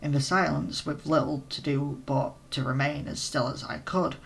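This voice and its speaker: A man with a strained, nearly hoarse voice, reading a visual novel aloud with casual asides for a let's play. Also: a little high, fairly bright tone. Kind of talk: reading game text aloud with commentary.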